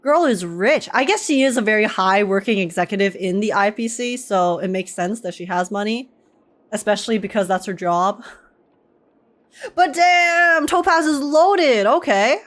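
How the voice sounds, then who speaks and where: A woman streamer giving casual live reactions to a game, chatty and giggly, thinking out loud. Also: mid-range voice, animated, moderate pace.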